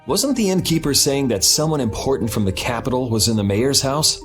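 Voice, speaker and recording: Male actor, young swordsman hero, sardonic, casual, swinging pitch, video game dub, music behind. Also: lively intonation, fairly quick, natural voice. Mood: wry, probing.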